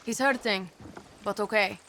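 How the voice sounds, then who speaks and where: An actress playing a wry, cocky young guerrilla fighter in a video game. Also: female voice, medium pitch, a little slow, background noise, natural voice. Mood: warm, upbeat. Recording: loud music behind.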